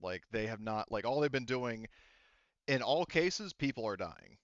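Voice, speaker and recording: A man improvising tabletop game narration as game master, often halting and rephrasing mid-sentence. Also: medium pitch, lively intonation, no room sound, highs cut off.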